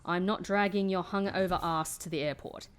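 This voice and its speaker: A woman's voice: lowish voice, steady tone, normal speed, clear, resonant tone, natural voice. An actress playing a tough, no-nonsense female agent, firm and dry, in an audio drama. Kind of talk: audio drama dialogue and narration.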